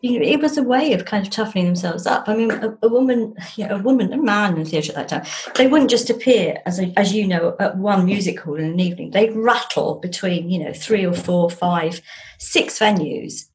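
A middle-aged woman speaks in a casual interview. Her voice sits at an average pitch, and she is emphatic and animated, often doubling up words to drive a point home. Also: brisk. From London, England. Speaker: author guest.

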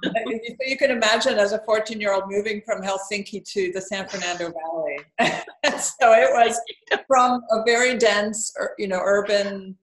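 A middle-aged woman from Finland, an architect answering interview questions in a thoughtful, measured way. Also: medium pitch. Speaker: architect and dean, interview guest. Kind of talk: relaxed reflective interview answers. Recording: roomy, echoey sound.

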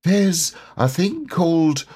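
A man voicing a dreamy, absent-minded bear in a storybook, speaking in a hesitant, vague, drawn-out way. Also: a little high, very animated, a little mellow, slightly rough, pitched above the performer's own voice, heavily acted. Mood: dreamy, puzzled.

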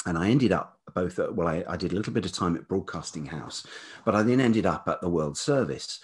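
A man's voice: sits low, animated, brisk, husky. A man in his fifties reflecting on his life as an interview guest, speaking hesitantly and thoughtfully in a velvety voice. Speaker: interview guest, writer and producer. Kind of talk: reflective autobiographical interview chat.

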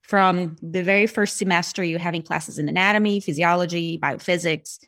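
A woman's voice: lowish voice, normal speed. A middle-aged woman from Brazil, a Portuguese native speaker with a Brazilian Portuguese accent, telling her career story as a guest in a casual podcast interview. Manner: chatty, animated storyteller. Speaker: guest, speech-language pathologist and app developer.

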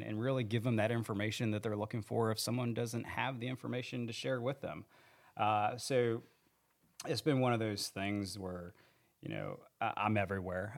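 Guest man, lowish gravelly voice, earnest rambling podcast talk. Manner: rambling, self-correcting, earnest. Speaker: training manager. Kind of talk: casual podcast interview. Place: podcast studio. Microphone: handheld studio mic.

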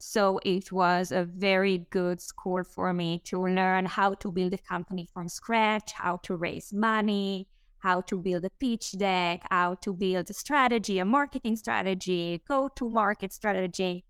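Israeli-accented woman with a clear voice. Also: mid-range voice, steady tone, fairly bright tone, no room sound. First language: Hebrew. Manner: fluent but halting, many "um" fillers. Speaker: startup co-founder guest. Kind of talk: podcast interview answers.

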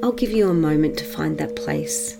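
A woman leading a guided meditation for children in a gentle, measured manner with long pauses. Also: low voice, creaky, loud music behind the voice.

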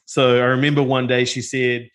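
A man's voice: medium pitch, flat delivery, moderate pace, fairly bright tone. A middle-aged man with a New Zealand accent tells his story as an interview guest. He is loose and self-deprecating, and he restarts his sentences often. His voice sits in an ordinary middle range. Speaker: guest, tech company co-founder.

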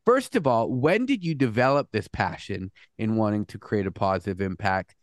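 A man's voice: on the low side, expressive, moderate pace, no echo, clean studio sound. A man hosting a podcast interview, earnest and chatty, with frequent restarts and "mm" sounds. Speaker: podcast host. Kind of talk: casual interview chat.

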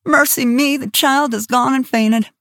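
Woman doing a sharp, shrewish older woman. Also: high voice, steady tone, measured pace, no room sound, studio quality, voice pushed up. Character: stern sensible older woman.

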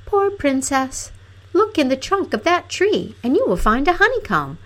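A small talking rose from a fairy tale, voiced by a woman reader. The voice is soft and high, lilting as it gives gentle, kindly advice. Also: big pitch swings, moderate pace. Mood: pitying, advising. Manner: gentle, advising. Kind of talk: fairy tale storybook character.

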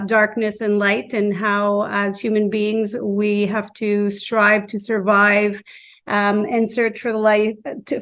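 Even-toned woman teacher explaining. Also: mid-range voice. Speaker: high school English teacher. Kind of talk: interview answer, explaining a class lesson.

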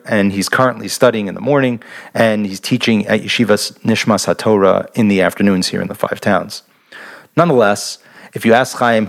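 Male podcast host, deep-ish voice, eager and chatty. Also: vocal fry. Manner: enthusiastic, repeats words and restarts often. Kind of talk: casual interview chat.

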